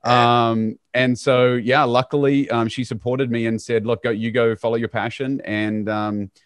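A man, a guest telling his story in a relaxed, conversational way with frequent fillers, on a video call from a small home office. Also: mid-range voice, unhurried, clean tone, dry recording. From New Zealand. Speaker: guest, real estate CEO. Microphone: studio mic on boom arm, video call.

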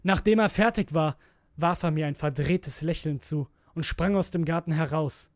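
Brooding male horror narrator, higher-pitched voice. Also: normal speed, no room sound, clean studio sound. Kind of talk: dramatic scripted narration of a creepypasta.

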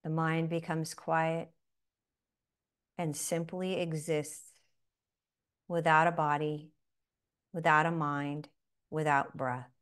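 Slow, smooth-voiced woman guiding meditation. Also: deep voice, little pitch movement. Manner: slow, soft-spoken, with long pauses. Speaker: meditation guide. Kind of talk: guided breathing meditation.

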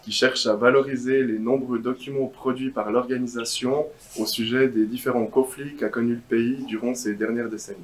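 Twenties male volunteer, even rehearsed delivery. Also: mid-range voice, moderate pace. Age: 20s. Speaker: volunteer archivist. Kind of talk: scripted testimonial. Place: outdoors in a garden.

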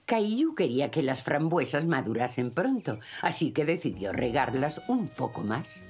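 A man's voice: a little high, sing-song, normal speed, music in the background. A man narrating a preschool cartoon as a storyteller, in Castilian Spanish. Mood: gentle storytelling. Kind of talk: scripted children's story narration.

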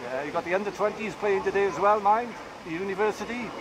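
A man doing quick, encouraging street interviews in a busy outdoor city square. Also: high-pitched. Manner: chatty, encouraging, quick questions. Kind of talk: vox pop interviews. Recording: loud outdoor noise.

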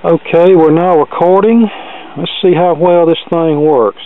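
Narrow-band, tinny audio: male demo host, slightly high-pitched, folksy and unpolished, casual hands-on delivery. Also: fairly even intonation, measured pace. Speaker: host demonstrating vintage electronics. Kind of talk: casual hands-on gadget demonstration. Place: cluttered indoor workbench.

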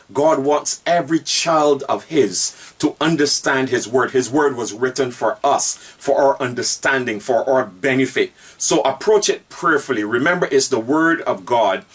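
Pastor, male, 40s, husky, deliberate repeated emphasis, recorded from a distance in a book-lined room. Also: mid-range voice, a bit bright. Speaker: pastor teaching Bible study. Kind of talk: earnest Bible study teaching. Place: room with bookshelves, indoors.

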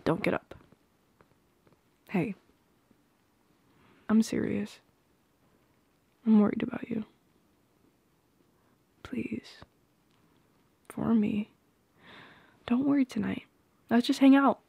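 Soft, slow-talking young woman, ASMR roleplay. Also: a little high, gravelly, slightly rough, dry recording. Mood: worried, teasing. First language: Polish. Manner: soft-spoken, hesitant, often dropping into whispers. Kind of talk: intimate ASMR roleplay acting. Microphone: close microphone.

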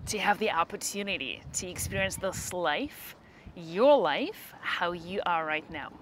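A woman leading a guided meditation, giving unhurried instructions in short phrases with long pauses between them. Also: on the low side, lively intonation, fairly bright tone, a little hiss or hum, poor audio.

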